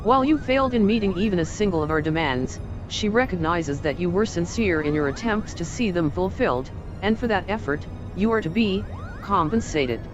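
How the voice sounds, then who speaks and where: A woman's voice: on the low side, expressive, fairly quick, natural voice. Lady voicing proud cold fantasy warrior woman, formal and clipped, bit muffled, music behind. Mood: cool, haughty. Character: stern, proud female warrior lieutenant of a resistance. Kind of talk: fantasy video game voice acting. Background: loud game music.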